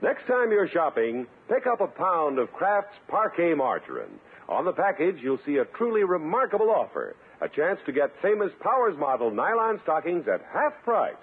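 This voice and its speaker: A man's voice: a little high, huge pitch swings, normal speed, husky. A man announcing on 1950s radio in a scripted, period announcer style. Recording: tinny vintage radio broadcast. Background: loud music.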